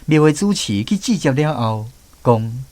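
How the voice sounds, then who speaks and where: A man's voice: medium pitch, lively intonation, a little slow, darkish tone, dry recording. A man with a Taiwanese accent narrating a scripted radio story in a folksy way, drawing out his phrases. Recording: music bed under the voice. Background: background music.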